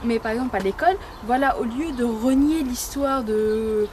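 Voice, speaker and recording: A woman's voice: on the high side, moderate pace, a little mellow, slight background noise. A woman from France giving her opinion casually as an interviewee, hesitant and often rephrasing herself. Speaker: interviewee in a street survey.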